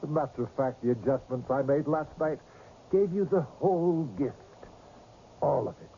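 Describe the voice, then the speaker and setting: An actor playing a cool, condescending older man from a secret tribunal in a radio drama, speaking in a measured, formal, patronising way. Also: on the high side, natural voice. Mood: smug, menacing. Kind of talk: 1980s radio drama acting. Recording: muffled, slightly noisy old radio recording.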